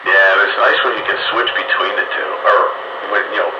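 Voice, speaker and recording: A man's voice: lowish voice, fairly even intonation, brisk, muffled recording. A man chatting casually over two-way radio as a fellow hobbyist, with a wry, informal way of talking. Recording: distant, echoey, very noisy radio signal.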